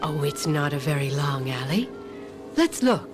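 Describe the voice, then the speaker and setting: A woman's voice: low-pitched, very animated, unhurried. An actress playing a vain, determined mother eager for exclusive finds in a radio mystery drama. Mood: brisk, insistent. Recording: music behind a radio drama.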